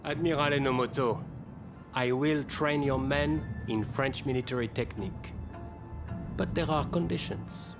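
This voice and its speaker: Man acting a haughty French officer, slow and deliberate. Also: medium pitch, over music, lightly acted. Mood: stern, demanding. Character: proud, shrewd male French military officer.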